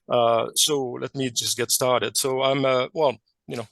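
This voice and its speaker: A man's voice: medium pitch, fairly even intonation, a little slow, vocal fry, fairly bright tone, dry recording. A man from Quebec with a French Canadian accent, French as his first language, giving a technical presentation over a video call with many fillers and restarts.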